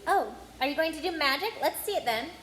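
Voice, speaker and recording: A woman reading aloud, voicing a bossy, fast-talking schoolgirl witch. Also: high voice, animated, fairly bright tone, echoey room, a little hiss or hum, pitched above the performer's own voice. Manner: rapid-fire, bossy.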